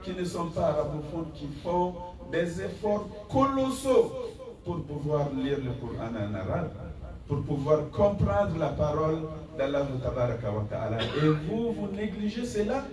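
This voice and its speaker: A man delivers a Friday sermon as imam, pressing his listeners with rhetorical questions and reciting Arabic verses. His voice sits fairly high and comes from some distance. It echoes through a large room with a gathered congregation audible behind him. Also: big pitch swings, unhurried, a crowd in the background. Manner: exhorting, rhetorical questions, rising emphasis. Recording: echoey hall from far off.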